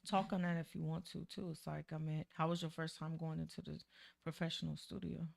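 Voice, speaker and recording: A woman hosting a casual interview in a recording studio, relaxed and chatty, peppering her talk with "you know what I'm saying.". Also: low-pitched, fairly quick, gravelly. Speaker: host.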